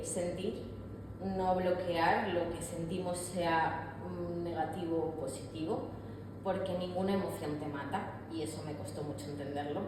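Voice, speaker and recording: A woman speaks candidly and reflectively to an audience, her voice sitting a little low. She often pauses and restarts her sentences. Her voice carries a long reverb from a large hall, with clear room noise under it. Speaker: artist speaker. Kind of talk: public talk on mental health.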